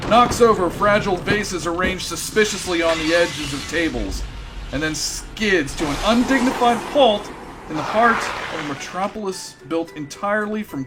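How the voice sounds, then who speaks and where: Dramatic wry narrator, high for a man, light music, scripted space comedy. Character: wry, theatrical storybook-style narrator.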